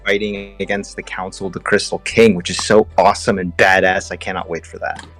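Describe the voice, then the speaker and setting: Very deep-voiced man chatting. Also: flat delivery, fairly quick, a bit bright, faint music behind, rough recording. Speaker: podcast co-host. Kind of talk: casual group chat, speculating as a fan.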